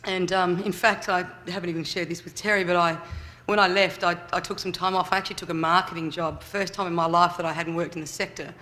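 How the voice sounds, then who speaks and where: Loud chatty man. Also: sits high, flat delivery, fast talker. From Australia. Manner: loud, chatty, many fillers. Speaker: youth services leader. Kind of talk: conference talk. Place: conference hall.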